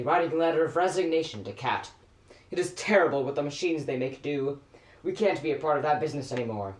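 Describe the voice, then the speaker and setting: A performer playing a gruff but earnest, commanding boss in an amateur radio play, speaking in an emphatic, declamatory way. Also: mid-range voice, roomy sound, natural voice.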